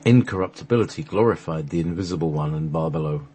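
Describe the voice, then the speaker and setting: A man narrating a sacred text for a radio play, halting at times as he reads from the script. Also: a bass voice, mellow, breathy edge. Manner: halting, stumbles over the script and restarts.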